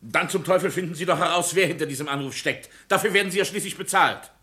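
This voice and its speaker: A man's voice: fairly high pitch, fast, bright, forceful tone. A man acting a blunt, testy witness in a crime radio play, with a precise way of speaking. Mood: indignant.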